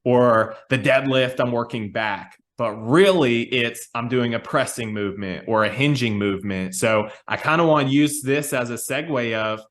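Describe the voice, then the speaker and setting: A man's voice: medium pitch, normal speed, few pauses, fairly bright tone. A man hosting a casual podcast conversation, talking in a rambling, reflective storytelling way. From small town. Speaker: podcast host.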